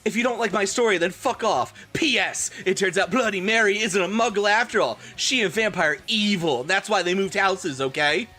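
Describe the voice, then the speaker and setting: A man's voice: sits high, moderate pace, hardly any pauses, pushed and bright, faint music behind, voice pushed up. A man voicing a whiny, defiant, melodramatic teenage goth girl in an exaggerated fan fiction reading. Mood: indignant.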